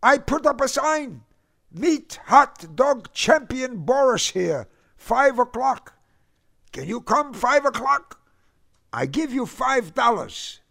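A man acts out a barrel-chested grocer who booms in broken English, pitched far up and loud. The voice sounds set back from the mic, with a rough, gravelly edge. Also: sing-song, takes it slowly, exaggerated voice. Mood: booming. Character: booming barrel-chested male grocery store owner.

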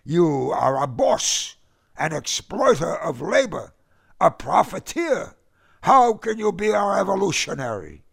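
A man's voice: mid-range voice, very animated, unhurried, gravelly, fairly bright tone, breathy edge, exaggerated voice. A man voicing a scolding, indignant male uncle who is a revolutionary.

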